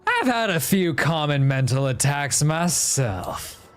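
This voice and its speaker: Playful, higher-pitched, unhurried male game streamer. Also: faint music behind. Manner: playful, joking, expressive. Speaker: game livestreamer. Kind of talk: casual stream chat mixed with reading game dialogue.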